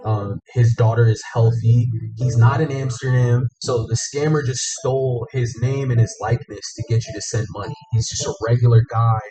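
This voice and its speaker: A man's voice: medium pitch, steady tone, moderate pace, few pauses, music in the background, low-quality recording. A man hosting an investigative show, narrating and explaining findings to a guest. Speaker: scam investigator and show host.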